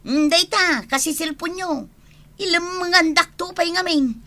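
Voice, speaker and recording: Shrill, exasperated nagging mother. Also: measured pace, full character voice. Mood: worried, weary. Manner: scolding, dramatic, loudly calling out. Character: exasperated, nagging mother and wife, short of money. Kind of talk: Ilocano radio comedy drama acting.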